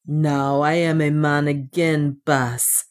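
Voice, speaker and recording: A woman's voice: sits low, slow pace. A woman voicing a loyal, boastful male dwarf warrior in an audiobook, with a grim, emphatic manner. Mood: grimly determined. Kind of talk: audiobook character dialogue.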